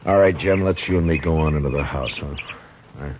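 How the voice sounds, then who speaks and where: A stern, deep-voiced frontier marshal. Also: male voice, noisy background. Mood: firm. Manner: firm, measured, commanding. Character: stern frontier marshal, man, middle-aged, calm authority. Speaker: marshal narrating. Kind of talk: 1950s radio drama acting. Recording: dull old radio broadcast recording with music.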